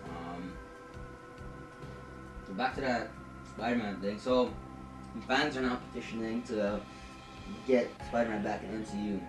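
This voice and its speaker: A man's voice: lowish voice, unhurried, long pauses. A man co-hosting a podcast, chatting casually about pop culture in informal, slangy language with some swearing. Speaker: podcast co-host. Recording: echoey room, distant mic, music behind. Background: music.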